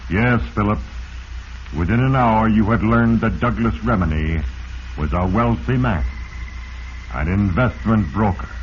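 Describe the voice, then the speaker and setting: An actor playing Fate as a solemn, all-knowing, portentous narrator in a 1940s radio drama. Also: male voice, on the low side, a little slow, pauses often, lightly acted. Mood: knowing, grim. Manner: ominous, portentous, theatrical. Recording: muffled, hissy 1940s radio recording.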